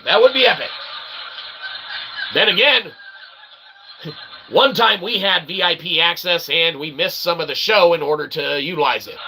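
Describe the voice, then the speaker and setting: A man hosting his own channel, delivering a rambling, wordy philosophical monologue with sudden excited outbursts. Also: sits high, noisy background. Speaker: channel host.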